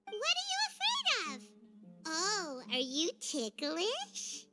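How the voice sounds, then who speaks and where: A very high, squeaky little fairy sidekick chatters excitably like a child. The pitch bounces around freely, in a video game style, with music playing clearly behind. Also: female voice, takes it slowly, full character voice. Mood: excited, teasing. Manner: refers to self in third person, excitable. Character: tiny floating childlike fairy companion, chirpy and chatty. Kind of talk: animated video game dub.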